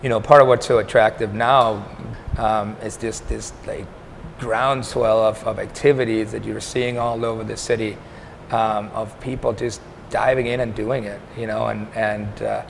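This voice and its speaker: Low gravelly man, flat and measured. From Denmark. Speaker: startup incubator co-founder. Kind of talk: interview answers to camera. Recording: faint background noise.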